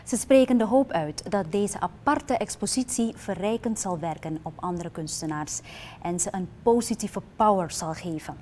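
A young woman presenting the news from a television studio in a measured, even newsreader delivery. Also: medium pitch, slight background noise. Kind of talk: scripted news narration.

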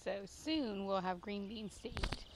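A woman in her thirties chats casually as she narrates a tour of a backyard garden. Her voice sits at a medium pitch. It is recorded outdoors on a handheld phone, with clear environmental noise behind her. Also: unhurried. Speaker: gardening vlogger. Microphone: handheld phone at arm's length.

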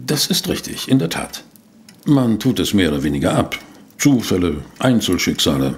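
Low-voiced, expressive gentleman reporter. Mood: earnest. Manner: polite, formal, measured. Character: inquisitive, courteous male newspaper reporter and narrator. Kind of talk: German audio drama acting and first-person narration.

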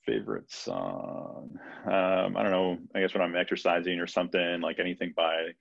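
Man, 30s, gravelly, halting, dry dull lo-fi video call sound. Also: low-pitched, dry recording. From Peru, raised in Florida. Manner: hesitant, many fillers and restarts. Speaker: physician guest. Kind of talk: casual interview answers. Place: home room, video call.

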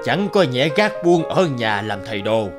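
An actor playing a pompous, stubborn, self-important rich village man in an animated folktale. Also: medium pitch, normal speed, music in the background, close to an everyday voice. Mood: smug.

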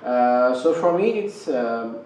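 A man speaking in a hesitant, halting way, with many fillers, on a panel. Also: medium pitch, animated, darkish tone, distant mic, a little hiss or hum. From Pakistan. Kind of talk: personal discussion. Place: studio with panel on a couch. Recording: echoey studio sound from off-mic.

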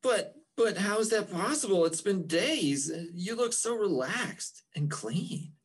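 A woman voicing an excitable, whiny teenage boy turned raindrop in a theatrical comic strip read-aloud. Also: medium pitch, slow pace, slightly rough. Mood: puzzled. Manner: theatrical, exclaiming, hesitant.

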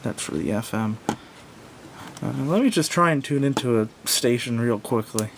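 A man giving a casual, unscripted product review in a halting, self-doubting way, recorded indoors at a tabletop on a camera microphone. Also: lively intonation. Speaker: gadget reviewer. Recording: clear background noise with low rumble.

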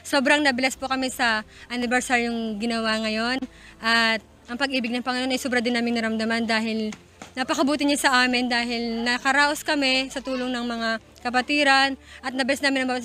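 A woman's voice: on the high side, flat delivery, brisk, pushed and bright. A woman speaking as a church member, eager and grateful, running her sentences together.

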